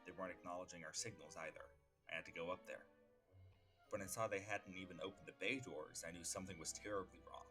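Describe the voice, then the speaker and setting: A man voicing a solemn, grieving superhero in a comic dub, speaking in a measured, formal, grave way. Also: sits low, steady tone, bright, forceful tone, faint music behind. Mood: grave, haunted. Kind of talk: dramatic comic-book dub monologue.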